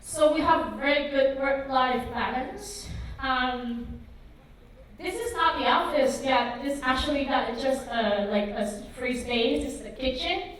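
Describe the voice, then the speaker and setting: A woman in her thirties from Vietnam speaks at a tech conference in a bright, high voice. She talks in a hesitant way, full of ums and likes. Her voice comes from some distance and rings around a very reverberant hall. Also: measured pace. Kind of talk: conference talk. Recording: echoey hall, mic far off.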